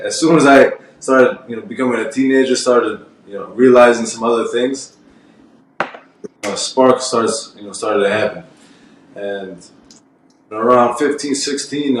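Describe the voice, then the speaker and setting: Twenties guy, low voice, rambling and blunt, distant mic, very echoey. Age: 20s. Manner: rambling, blunt, swears freely. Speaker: podcast guest. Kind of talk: casual podcast chat. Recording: very echoey room, mic far off.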